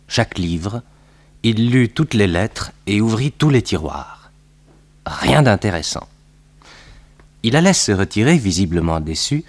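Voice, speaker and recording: A man narrating a 1960s French radio detective serial as a sober storyteller, in scripted style. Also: fairly low pitch.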